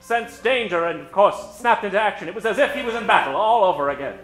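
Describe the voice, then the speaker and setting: Mock-dramatic vintage radio storyteller played by a man, high-pitched, theatrical, roomy sound. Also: expressive, fast, a bit bright. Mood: suspenseful. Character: dramatic old-time radio narrator. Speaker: narrator. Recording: echoey stage with music behind.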